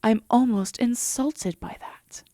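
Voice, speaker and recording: Woman as a slow, soft-voiced teasing demoness. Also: on the high side, no room sound, studio quality. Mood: smug, teasing. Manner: teasing, unhurried, breathy half-whispered delivery. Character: teasing, composed female demon bound to her summoner. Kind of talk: intimate roleplay audio drama.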